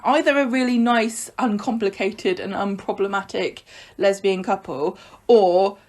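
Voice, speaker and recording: A woman's voice: mid-range voice, fairly even intonation, measured pace, darkish tone. A woman co-hosting a podcast, chatting casually and frankly about a soap opera, often trailing off mid-thought. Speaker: podcast co-host.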